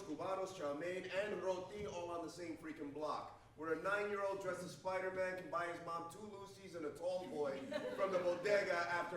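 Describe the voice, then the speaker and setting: Brash high-voiced man on a theatre stage. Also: a bit bright. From Queens, New York. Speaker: stage performer. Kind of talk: scripted theatre monologue. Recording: roomy echo from far off.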